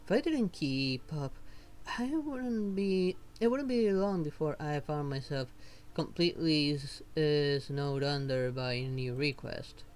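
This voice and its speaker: A streamer voicing a weary, earnest female novelist from a fantasy game in a halting, formal way. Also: mid-range voice, expressive, a little mellow, lightly acted. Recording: noticeable background noise.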